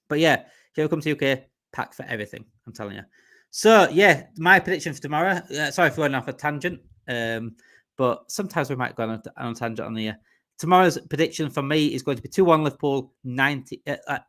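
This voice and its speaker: A man's voice: on the high side, a bit bright. Chatty Yorkshire man hosting a livestream. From Yorkshire, England. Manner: rambling and chatty, repeats himself, reads out viewer comments. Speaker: livestream host. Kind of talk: casual live-stream chat.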